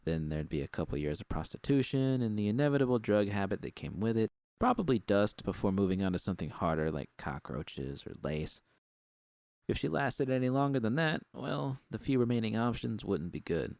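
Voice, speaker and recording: A man with a deep, gravelly voice reading first-person fiction as scripted narration. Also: very animated, brisk, darkish tone, no room sound. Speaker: audiobook narrator.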